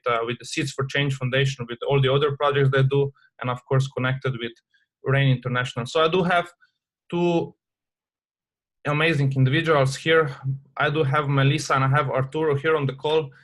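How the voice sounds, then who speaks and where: A man from Slovenia hosts a conference call and introduces his guests. His voice is middle-pitched and flat, and he speaks in halting, hesitant English with many pauses and fillers. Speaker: call host.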